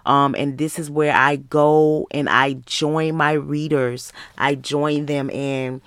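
Clear, smooth-voiced American woman. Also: deep voice, flat delivery, takes it slowly, dry recording, clean studio sound. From Michigan, United States. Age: 30s. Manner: earnest, encouraging, conversational. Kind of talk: personal podcast monologue, faith-based motivational talk.

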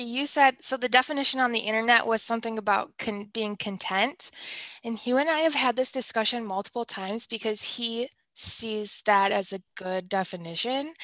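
A woman's voice: mid-range voice, a bit bright, dry recording. A young woman hosting a casual podcast, upbeat and chatty with frequent fillers. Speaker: podcast host.

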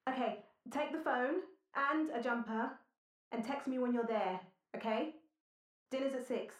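A woman's voice: on the high side, a little slow, close to an everyday voice. A woman playing an exasperated wife and mother in an audio drama, blunt, bossy and impatient, in a roomy space. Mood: brisk. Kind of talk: naturalistic audio drama dialogue. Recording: roomy, echoing household sound.

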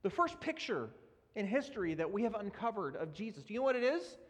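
A man's voice: high voice, very animated, quick pace, a bit bright. Man preaching in a reverberant hall. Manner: earnest, emphatic preaching with scripture readings. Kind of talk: sermon. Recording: long reverb of a large hall.

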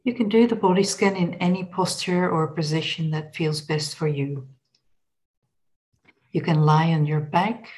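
Woman, meditation guide, low pitch, very slow pace, gentle instructional delivery. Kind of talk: guided body scan meditation.

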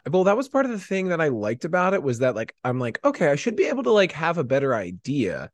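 A man talks casually as a podcast guest, his pitch swinging freely. He rambles in a self-deprecating, animated way and leans on "like" a lot. The sound is dry and close. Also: fast talker, no echo. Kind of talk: casual chat, anecdotes.

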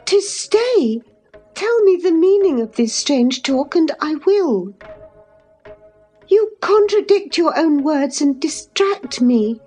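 A woman's voice: high voice, very animated, slow, warm tone, faint music behind, pitched above the performer's own voice, exaggerated voice. A woman voicing a scornful, passionate young lady in a storybook, scolding with emphatic, imperious phrasing. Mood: alarmed, earnest.

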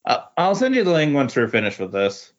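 A man chatting casually about comics on a podcast, speaking in halting, tentative phrases. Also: medium pitch, wildly swooping pitch, lo-fi sound.

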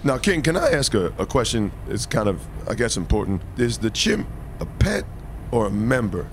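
A man's voice: mid-range voice, big pitch swings, moderate pace, slight background noise. A man playing a bodyguard in an improvised comedy scene, speaking politely and hesitantly in a formal way. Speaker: bodyguard in the star's entourage.

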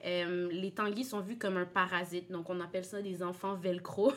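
A woman's voice: on the low side, little pitch movement, moderate pace, fairly bright tone. A young woman hosting a casual podcast, playful and laughing, with English words mixed in. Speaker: podcast host.

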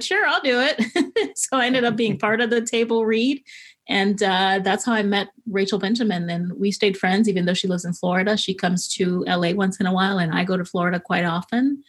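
A middle-aged woman from Haiti, a podcaster being interviewed, talking conversationally and with enthusiasm as she shares anecdotes. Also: medium pitch.

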